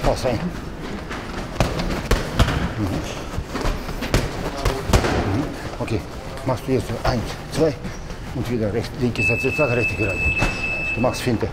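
A man's voice: medium pitch, measured pace, pauses often. A middle-aged man coaching pad drills, calling short counts and commands in a large indoor boxing gym, picked up by a camera at a distance. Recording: gym noise with loud thuds.

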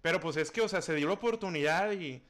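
A man's voice: a little high, fast talker, fairly bright tone. A man, chatting casually on a phone call during a livestream. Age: 20s. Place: indoor room at home.

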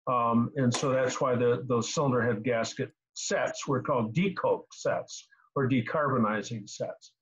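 An older man in his sixties hosts a casual technical question session over a video call. He explains in a halting way, often repeating a word before going on. His pitch is middling and the sound is slightly dull. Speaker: host answering car repair questions. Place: small home room indoors. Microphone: computer mic on a video call.

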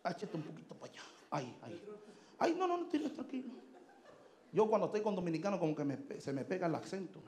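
A man in his thirties preaching a fiery sermon on a church stage into a handheld mic, shouting and mixing Spanish with English. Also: high voice, very animated, fast, crowd noise. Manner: shouting, emphatic, switching between Spanish and English. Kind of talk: Pentecostal sermon. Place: large church stage indoors. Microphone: handheld microphone.

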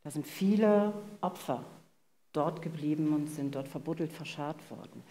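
Low-voiced middle-aged woman, emphatic. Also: normal speed, warm tone. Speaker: co-host. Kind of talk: live true-crime interview. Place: vaulted venue, live audience on stage. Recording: live stage sound, faint noise.

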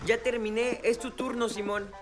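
Woman as a cheerful kid bunny in a cartoon, latin american spanish, high unrushed voice, music underneath. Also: steady tone, moderate pace, lightly acted. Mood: cheerful, eager. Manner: playful, eager, childlike. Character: young boy rabbit, cheerful eager show-off friend. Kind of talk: children's cartoon dubbing. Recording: music behind the voice.

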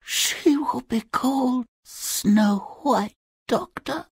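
Breathy, very slow-speaking queen. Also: high-pitched, no room sound, clean studio sound, full character voice. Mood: tender, straining. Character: gentle, melodramatic fairy-tale queen mother. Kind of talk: video game character acting.

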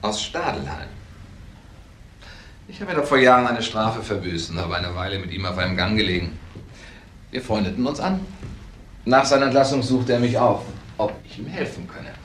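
An actor playing a suave, slippery ex-convict in a crime drama, talking with courteous polish. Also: male voice, lowish voice, moderate pace, pauses often, a bit bright, natural voice. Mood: affable. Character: suave, slippery male ex-convict acting respectable. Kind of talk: German TV crime drama dialogue. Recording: echoey room, faint background noise.

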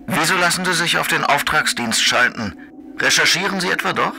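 An actor playing a condescending, menacing federal prosecutor in a radio play, speaking in an officious, admonishing, formal way. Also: male voice, fairly high pitch, expressive, fairly quick, gravelly, pushed and bright, slight background noise, heavily acted. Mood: suspicious. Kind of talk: German radio play acting.

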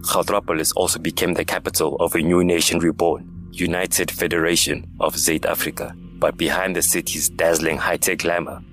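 A man's voice: low voice, little pitch movement, normal speed, few pauses, a bit bright. A man giving scripted, dramatic narration for a science fiction audio drama. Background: music.

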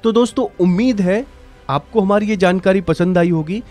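A man's voice: high voice, normal speed, a little mellow. A man reading scripted news narration as a presenter. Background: background music.

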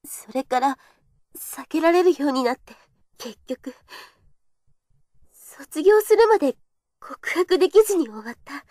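A woman's voice: sits high, a little mellow, dry recording, clean studio sound. A young woman playing a shy, earnest kendo girl in an audio drama monologue, with a hesitant, confessional delivery. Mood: shy, tender.

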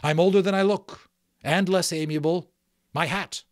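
A man's voice: high voice, steady tone, normal speed, dry recording, studio quality, pitched above the performer's own voice, natural voice. A man voicing a proud, prickly magician in a storybook, speaking in a formal, self-important manner. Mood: firm, indignant. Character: proud, prickly male magician, older than he looks.

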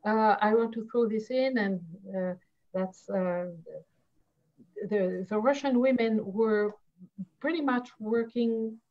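A woman from France with a French accent, a history professor speaking on an online panel, pausing often with fillers and restarts. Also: on the high side, steady tone, highs cut off. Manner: halting, many fillers and restarts. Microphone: video call.

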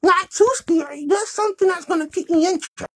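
Man putting on a very high sassy puppet girl. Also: brisk, a bit bright. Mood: cheerful. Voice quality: high falsetto. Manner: sassy, blunt. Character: sassy girl hand puppet, playful and blunt. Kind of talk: improvised children's puppet show.

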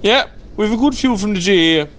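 A man's voice: sits high, animated, a little fast, slight background noise. A man with an Irish accent playing a keen sports club member on a parish committee in an amateur radio drama.

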